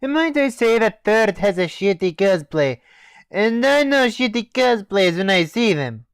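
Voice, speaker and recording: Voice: medium pitch, big pitch swings, measured pace, clean tone, no echo, clean studio sound. A performer voicing a brash, foul-mouthed, bloodthirsty military operator from a game in a comedy skit. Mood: blunt, mocking. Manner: blunt, cocky, swearing.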